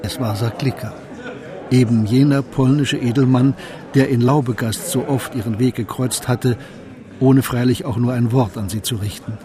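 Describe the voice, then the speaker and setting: A man's voice: mid-range voice, steady tone, normal speed, a crowd in the background, close to an everyday voice. A man giving composed, literary storytelling narration in a radio drama.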